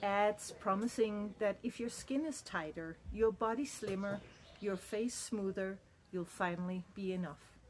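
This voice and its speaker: A woman's voice: mid-range voice, unhurried, slight background noise. A middle-aged woman from Denmark, speaking in a candid, earnest and reflective personal monologue. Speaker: vlogger.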